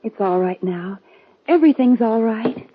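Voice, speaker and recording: A woman playing a tender, shaken young frontier bride-to-be with a breathy voice in a 1950s radio western. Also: a little high, expressive, normal speed, narrow, tinny audio, background noise, natural voice. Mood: tender, soothing. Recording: thin, band-limited 1950s radio recording.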